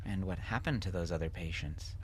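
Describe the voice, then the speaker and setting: A man voicing a bitter, anguished wife and mother with a sharp, sardonic manner in an audiobook drama. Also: low voice, a little fast, slight background noise, close to an everyday voice. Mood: sardonic, probing. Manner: sharp, sardonic, sometimes near whispering.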